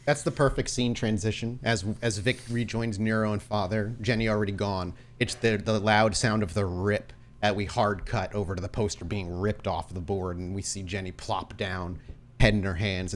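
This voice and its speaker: A man's voice: sits low, fairly even intonation, normal speed, slight background noise. A man working as game master, narrating tabletop scenes descriptively.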